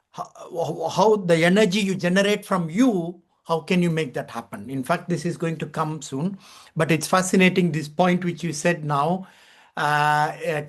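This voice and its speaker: A man hosting a conversational interview, wordy and reflective, with questions that meander. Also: on the high side. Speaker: interview host.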